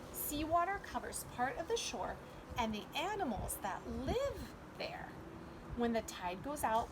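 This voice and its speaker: A woman's voice: fairly high pitch, background noise. A woman preschool teacher reading and explaining simply and instructively to young children. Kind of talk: children's read-aloud and simple lesson.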